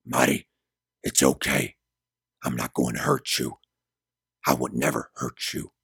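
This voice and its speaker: A man voicing an intense, desperate man out of his own time in an audiobook drama, speaking with low, forceful urgency. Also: flat delivery, a little slow, creaky, breathy edge, no echo, clean studio sound, heavily acted. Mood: soothing, pleading. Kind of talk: dramatic audiobook character dialogue.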